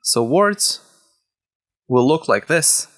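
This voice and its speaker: A man who is a native Hebrew speaker, teaching a language lesson step by step, deliberately and with key words repeated. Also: fairly high pitch, expressive, a bit bright. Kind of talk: instructional lesson, explaining step by step.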